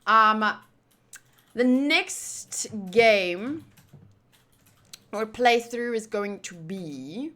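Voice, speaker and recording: A woman livestreamer chatting casually to her viewers in a playful, teasing and animated way. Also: fairly high pitch, very slow, long pauses. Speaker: streamer hosting a game playthrough.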